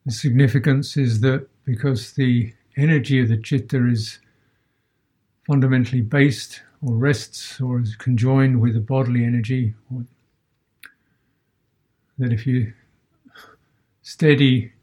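A man teaching a guided meditation as a Buddhist teacher, speaking in short measured phrases with long pauses between them. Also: mid-range voice, fairly even intonation, warm tone, breathy edge.

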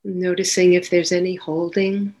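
A woman leading a guided meditation as a teacher, giving gentle, unhurried instructions with long pauses between phrases. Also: on the low side, little pitch movement.

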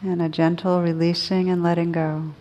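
A woman teaching a guided meditation in a gentle, soothing, unhurried manner with long pauses between phrases. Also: lowish voice, little pitch movement, muffled recording.

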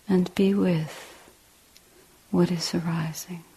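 A woman guides a meditation in a hushed, lowish voice with a gravelly creak. She speaks in short, deliberate phrases with long pauses between them. The tone is soft and mellow, often breathy and almost whispered. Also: steady tone, low-quality recording. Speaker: meditation teacher.

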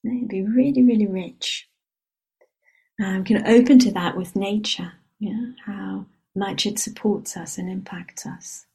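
A woman whose first language is Hebrew, a meditation teacher giving a gentle, unhurried dharma reflection and often checking in with a soft "yeah?". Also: mid-range voice, steady tone, gravelly, slightly rough. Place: meditation retreat hall.

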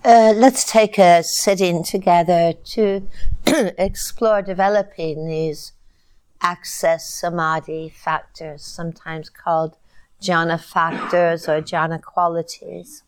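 A woman teaching a guided meditation in a calm, measured way, with long pauses between short phrases. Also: lowish voice, clean tone.

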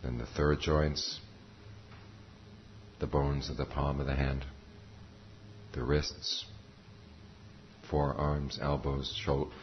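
An extremely low-voiced man leads a guided meditation. He speaks calmly and in a measured way, leaving pauses between short phrases. The recording is somewhat muffled, with a faint noise underneath. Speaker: meditation teacher. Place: meditation center talk.

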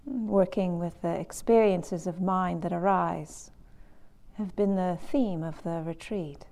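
A woman leading a guided meditation as a teacher, calm and unhurried, with long pauses between short phrases. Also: fairly low pitch.

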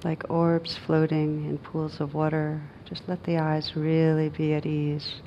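A woman with a low voice leads a guided meditation. Her delivery is gentle and very even, with little rise or fall and long, unhurried pauses between phrases. A faint noise and rumble sit behind her. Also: highs cut off. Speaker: meditation teacher.

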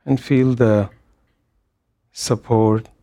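Slow, even-voiced man guiding meditation. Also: mid-range voice. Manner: gentle, unhurried, pausing between short phrases. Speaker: meditation teacher. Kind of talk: guided meditation. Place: meditation hall.

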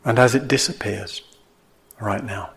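A man teaching meditation, guiding gently and in a measured way, with pauses between short phrases. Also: lowish voice, vocal fry. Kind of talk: guided meditation.

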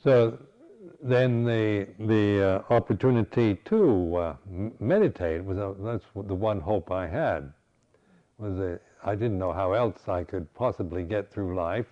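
Older man, low pitch, halting reflective dharma talk, muffled lo-fi recording. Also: mellow. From United States. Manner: reflective, halting, many restarts. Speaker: Buddhist monk teacher.

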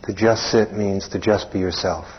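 A man with a deep, husky voice guides a meditation. He speaks in short, plain phrases and leaves long pauses between them. The recording is dull and muffled. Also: steady tone. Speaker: meditation teacher. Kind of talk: guided meditation instruction, spoken live.

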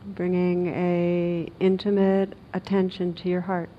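A woman meditation teacher leading a guided meditation in a gentle, unhurried manner with long pauses, on a recording with some noise. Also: completely flat delivery. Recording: dull recording with noise and rumble.

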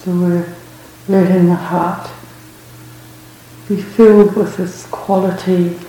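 A woman leading a guided meditation as a teacher, speaking gently and unhurriedly in short phrases with long pauses. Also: fairly low pitch, flat delivery. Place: reverberant indoor room at a retreat. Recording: very echoey room, faint background noise.

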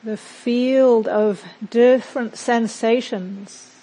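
A woman leads a guided meditation in a fairly high voice. Her delivery is very slow and gentle, with long pauses left between short phrases. She speaks as a teacher giving calm, unhurried instruction. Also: low-quality recording.

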